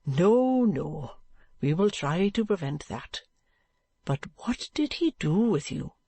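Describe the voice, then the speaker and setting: A woman voicing a kind, patient young man who coaxes gently. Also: lowish voice, very animated, takes it slowly, breathy edge, no echo, heavily acted. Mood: reassuring. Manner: gentle coaxing tone.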